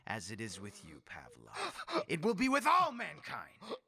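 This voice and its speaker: A man playing a murderous, mockingly courteous dictator in a radio drama, theatrical and taunting with drawn-out emphasis. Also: a little high, huge pitch swings, creaky, pushed and bright, breathy edge, heavily acted. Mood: gloating, maniacal.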